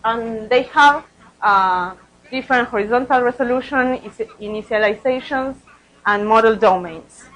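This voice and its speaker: A woman's voice: fairly high pitch, moderate pace, fairly bright tone, rough recording. A woman researcher presenting study results at a conference, speaking hesitantly and often repeating words.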